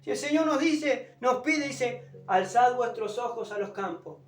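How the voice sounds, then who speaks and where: Preacher, sore strained throat, forceful exhorting delivery in a roomy space, unamplified and distant. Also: mid-range voice, lively intonation, measured pace. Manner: emphatic, exhorting, repeats phrases and addresses listeners as brother. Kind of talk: fervent evangelical sermon. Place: echoing room, preaching unamplified. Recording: roomy, distant pickup without a microphone, music behind.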